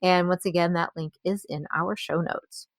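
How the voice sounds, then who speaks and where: Low-voiced woman co-hosting a podcast. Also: fairly even intonation, normal speed, dry recording, studio quality. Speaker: podcast co-host. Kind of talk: casual podcast talk.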